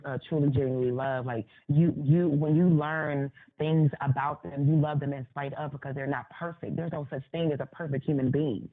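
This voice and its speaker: A woman interview guest giving her opinions conversationally, repeating phrases for emphasis. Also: a bass voice. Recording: thin phone-like line.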